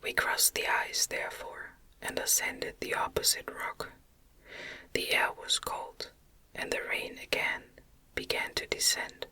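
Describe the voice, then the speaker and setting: A soft, unvoiced whisper reads a classic audiobook for ASMR. The delivery is very slow, measured and soothing, with crisp, bright breathiness. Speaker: audiobook reader.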